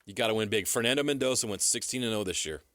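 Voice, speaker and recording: A man's voice: lowish voice, racing, fairly bright tone. An American man co-hosting sports talk radio, talking casually and emphatically on a studio microphone. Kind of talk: casual sports debate banter. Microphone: close studio radio microphone.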